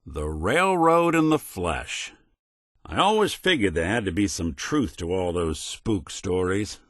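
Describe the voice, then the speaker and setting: Tired old-school android detective for a game, dry wit, noir talk, lowish voice that moves around a lot. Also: male voice, no echo, heavily acted. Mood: wry, intrigued. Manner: dry, sardonic, folksy noir phrasing. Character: weary, wry male android detective, world-worn but principled. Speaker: video game voice actor. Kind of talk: hard-boiled detective game dialogue.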